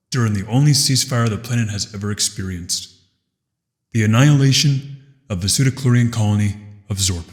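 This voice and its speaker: A man playing a grave alien leader who delivers a measured memorial speech in a sci-fi audio drama. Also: on the low side, darkish tone, close to an everyday voice. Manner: measured, grave, oratorical with weighty pauses. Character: grave alien co-chair commemorating his people's tragedies. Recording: long hall reverb.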